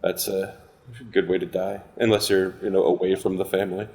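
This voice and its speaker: A man's voice: low-pitched, little pitch movement, fairly quick, gravelly, darkish tone. A man on a podcast panel, chatting casually with a dry, quippy delivery.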